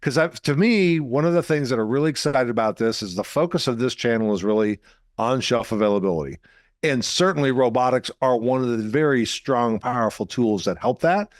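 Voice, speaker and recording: An enthusiastic male host keeps the chat moving with eager, talkative energy and often repeats himself. His voice is mid-pitched, and the recording sounds dry and close. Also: animated, a little fast, dry recording. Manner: enthusiastic, chatty, repeats words. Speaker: show host. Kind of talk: casual interview hosting.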